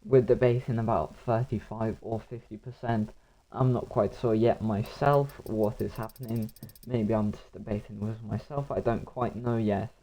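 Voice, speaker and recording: Hoarse male race commentator. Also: on the low side, fairly even intonation, moderate pace, warm tone, rough recording. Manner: rambling, talks to himself between action. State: hoarse, voice nearly gone. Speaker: sim racing commentator.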